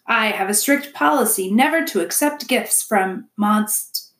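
A woman's voice: medium pitch, a little slow, a bit bright. Woman doing a grumbling male storybook beaver, stubborn, blustery, fussy, roomy echo. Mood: stern, stubborn.

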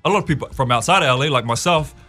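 A relaxed man in his thirties with a New Zealand accent, chatting as a radio guest in a mid-pitched voice. He chuckles often and restarts his sentences as he goes. Faint music hums behind him in the studio. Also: rapid-fire. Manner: easygoing, chuckling often, with many fillers and restarts. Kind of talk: casual radio interview.

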